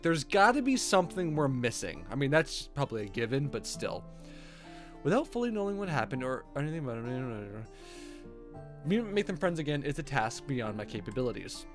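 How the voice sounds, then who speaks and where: Male streamer, wry and sweary, very expressive read of visual novel narration with casual commentary, music underneath. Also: medium pitch. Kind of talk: reading game text aloud with casual asides. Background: music with guitar.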